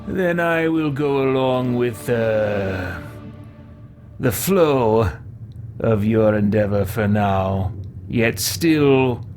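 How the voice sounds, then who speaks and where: A man voicing a haughty, wary would-be emperor in a video game roleplay, measured and theatrical in manner. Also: mid-range voice, expressive, darkish tone, soft background music. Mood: grudging.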